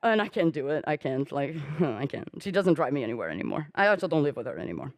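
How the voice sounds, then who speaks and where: Lively young woman speaking on stage. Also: fast talker, no echo. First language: Portuguese. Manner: candid, self-deprecating, casual with swearing and fillers; acts out quoted dialogue with jumps in pitch. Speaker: conference speaker. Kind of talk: conference talk. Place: on a conference stage.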